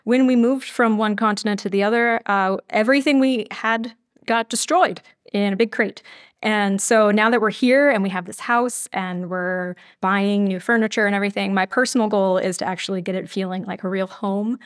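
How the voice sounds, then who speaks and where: A woman's voice: mid-range voice, steady tone, moderate pace, no room sound, studio quality. A woman talking casually as a podcast guest about her creative work. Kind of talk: casual interview chat.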